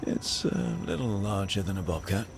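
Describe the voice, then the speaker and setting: An actor playing a supportive, reassuring leader of an adventuring team in a video game. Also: male voice, sits low, animated, moderate pace, vocal fry, noisy background. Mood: curious, wry. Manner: reassuring, encouraging. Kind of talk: video game cutscene acting. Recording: music behind the voice.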